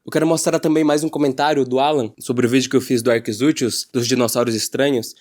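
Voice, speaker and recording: A man's voice: mid-range voice, steady tone, fast, a little mellow. A Brazilian man giving casual opinion commentary as a YouTuber, in an informal, slangy way.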